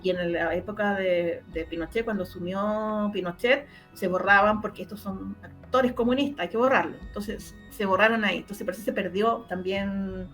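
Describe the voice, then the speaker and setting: A woman's voice: mid-range voice, normal speed, few pauses, a bit bright, faint music behind. A Chilean woman answering interview questions conversationally, emphatic and repeating phrases for stress. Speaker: interviewed radio drama creator.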